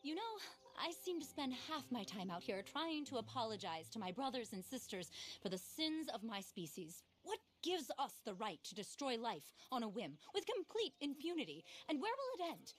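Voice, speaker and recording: A woman's voice: a little high, very animated, normal speed. An actress playing an eccentric, easily flustered lady naturalist in a video game, speaking in a genteel, fervent, theatrical way. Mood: anguished, indignant. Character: earnest, flustered, eccentric lady naturalist who adores animals. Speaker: character in a video game cutscene. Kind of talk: dramatic game voice acting.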